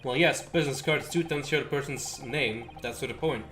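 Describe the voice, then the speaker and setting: A man's voice: mid-range voice, brisk, a bit bright. A man livestreaming a visual novel, reading its dialogue aloud in a theatrical way. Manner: theatrical, acting out characters while reading. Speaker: streamer.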